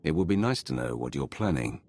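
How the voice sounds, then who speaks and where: An actor playing a commanding Sith lord in a video game, gravelly, terse and authoritative, a stern but supportive superior. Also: male voice, a bass voice, expressive, a little fast, a little mellow, exaggerated voice. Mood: measured. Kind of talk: dramatic video game cutscene acting.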